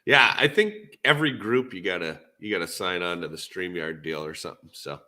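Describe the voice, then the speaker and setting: A man with a fairly deep voice and a bright, forceful edge hosts a casual livestream podcast. He talks shop in a laid-back way, halting often as he thinks out loud. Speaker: podcast host.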